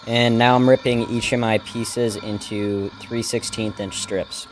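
A man's voice: fairly low pitch, steady tone, a little slow, a little hiss or hum, poor audio. A man narrating a scripted woodworking how-to in a plain, matter-of-fact, step-by-step way. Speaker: woodworker, video host.

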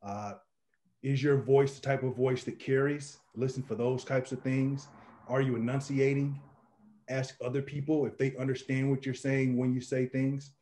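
A man in his thirties with an Indiana accent, giving relaxed, measured advice on a video call from a small home office. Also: mid-range voice. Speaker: meetup organizer. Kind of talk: casual video call chat.

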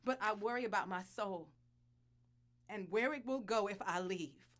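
An actress playing a bitter, devout wife in an audio drama, intense and deliberate in her delivery. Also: mid-range voice, a bit bright, close to an everyday voice. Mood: weary, anguished. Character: bitter, anguished wife and reluctant adoptive mother, devout. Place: small recording studio. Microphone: studio condenser mic.

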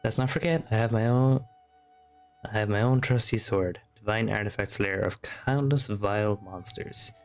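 A man's voice: lowish voice, moderate pace. A man streaming a game, giving casual commentary and reading dialogue aloud. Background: soft game music.

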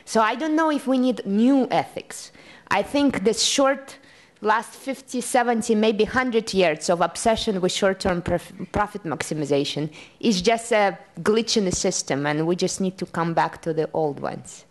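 A woman's voice: medium pitch, moderate pace. Woman moderator wrapping up a panel, reflective, asks questions then answers them. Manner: reflective, builds points with rhetorical questions. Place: conference room.

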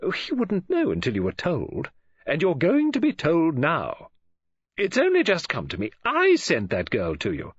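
A male narrator plays a fussy, imaginative middle-aged woman in an audiobook. The voice leaps high and swings wildly up and down as it gushes and exclaims. The recording is a little dull. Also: huge pitch swings, moderate pace, breathy edge, no room sound, heavily acted. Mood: dawning realisation. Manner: gushing, exclamatory, swooping emphasis.

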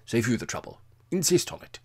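A man's voice: medium pitch, very animated, a little fast, slightly rough, voice pushed up. Man playing hearty, clipped army major, raised voice. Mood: jovial, amused. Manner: clipped, dropped words, hearty. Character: jovial gallant army major, gruff clipped gentleman. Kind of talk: audiobook character voice.

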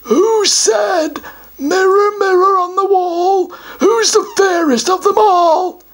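A woman voicing a vain, touchy guardian creature of a treasure hoard, blustering and quick to take offence in a children's story. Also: very high voice, pitched above the performer's own voice, heavily acted. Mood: self-important. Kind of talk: children's storytelling with put-on voices.

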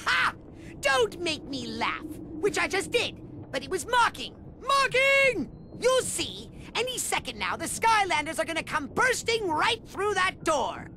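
A man's voice: very high voice, big pitch swings, a little slow, a little hiss or hum, exaggerated voice. An actor playing a small, pompous, indignant male villain sorcerer in a video game. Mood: mocking, gloating. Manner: pompous, emphatic. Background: loud game soundtrack music.

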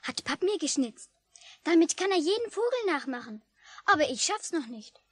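High-voiced, eager child. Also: female voice, very animated, moderate pace, fairly bright tone, dry recording, studio quality. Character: eager, bird-loving child of lakeside angler. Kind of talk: 1950s German radio play acting. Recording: faintly noisy old radio recording.

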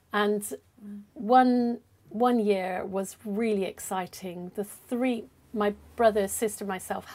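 An older woman recalling farm-childhood Christmases in a measured way, seated on a sofa. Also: medium pitch, darkish tone. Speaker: interviewee. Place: living room indoors.

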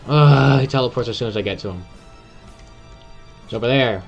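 A man's voice: medium pitch, normal speed, vocal fry, lo-fi sound, faint music behind. A young man giving casual gameplay commentary, cheering on a teammate. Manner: excited, urging on a teammate. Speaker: gamer.

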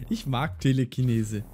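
A man's voice: mid-range voice, slow pace, mellow, slight background noise. A young man giving casual, goofy commentary over a game and singing silly rhymes. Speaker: let's play host.

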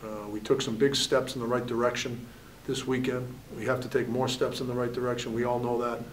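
A man's voice: mid-range voice, level tone, brisk, distant mic, a little hiss or hum, rough recording. A man in his forties, a head hockey coach, answering questions candidly at a postgame press conference and often saying "you know". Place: press room at a backdrop. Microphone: table mic, at a distance.

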